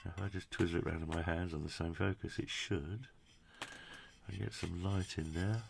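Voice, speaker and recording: A man's voice: sits low, fairly even intonation, moderate pace, gravelly, noisy background. A British man presenting a casual hands-on product review in a chatty, jokey, effusive way with quaint turns of phrase. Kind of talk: casual hands-on unboxing commentary.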